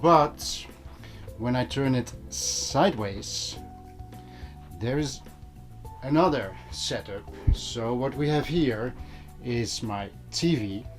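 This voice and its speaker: A man's voice: mid-range voice, expressive, slow, pauses often. A man talking casually to viewers, explaining things in a conversational way as an audio vlogger. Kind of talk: casual vlog talk to viewers. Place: small room at home. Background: music playing.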